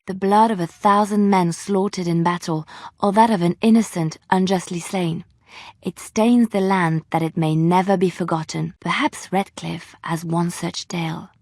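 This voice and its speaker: A woman playing a gentle, devout young bard in a video game, with an earnest, lyrical storytelling manner. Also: on the low side, no room sound, studio quality.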